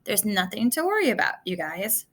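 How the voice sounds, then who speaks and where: A woman voicing an easygoing adult male sailor in a storybook, calm and reassuring in manner. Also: medium pitch, fairly quick. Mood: calm reassurance.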